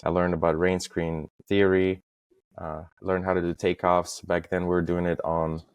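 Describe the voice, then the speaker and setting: A man in his thirties from Estonia, talking as a podcast guest in a halting way, with many fillers and restarts. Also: deep voice, little pitch movement, clean tone, dry recording, muffled recording. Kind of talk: casual interview talk.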